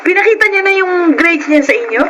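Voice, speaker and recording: A very high young voice with a Filipino accent, playing a cheeky schoolgirl daughter in an emotional TV drama. She is competitive and quick-tempered. The location sound is noisy. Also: lively intonation. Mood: tattling, cheerful.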